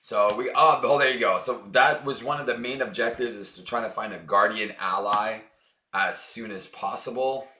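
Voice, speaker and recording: A hoarse man chatting casually as he plays through a card game for his channel, upbeat and full of fillers. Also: fairly low pitch, normal speed, pushed and bright, echoey room, slight background noise. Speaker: board game channel host. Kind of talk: casual tabletop game playthrough.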